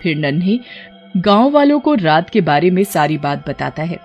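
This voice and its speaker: A woman's voice: on the low side, sing-song, moderate pace, a little mellow, highs cut off, soft background music. Woman narrating a horror cartoon story.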